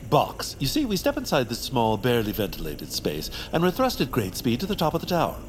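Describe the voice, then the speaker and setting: A pompous, vain baron in a cartoon audio drama. His mid-pitched voice swoops grandly, full of self-importance and showmanship, with faint noise behind. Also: wildly swooping pitch, fairly quick. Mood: boastful, airy. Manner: grandiose, theatrical.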